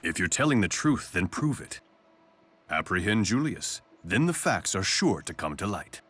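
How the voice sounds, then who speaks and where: A calculating video game executive, very low in pitch with a forceful, bright edge. He speaks in a polished, condescending way, suave and controlled. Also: very animated, moderate pace, husky, heavily acted. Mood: coolly persuasive. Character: suave, calculating corporate chief, adult man. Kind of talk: English video game voice acting.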